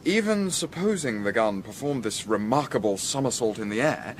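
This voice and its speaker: Man playing reasoning Victorian gentleman, English accent, medium pitch, precise, formal, politely insistent, radio drama, faint background noise. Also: expressive, normal speed, natural voice. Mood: probing, reasoning. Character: measured, persistent, deductive gentleman in Victorian household. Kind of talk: period radio drama acting.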